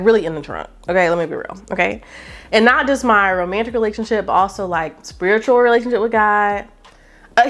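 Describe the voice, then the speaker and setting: Woman, late 20s, YouTuber. Chatty, animated talk to camera with frequent asides. Mid-range pitch. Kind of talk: casual chat to camera.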